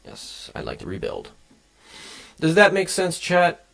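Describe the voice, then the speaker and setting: A man's voice: a little high, wildly swooping pitch, measured pace, gravelly, fairly bright tone. A young man streaming from his home desk, chatting casually with viewers while coding, rambling across tangents and swearing freely. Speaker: game developer streaming.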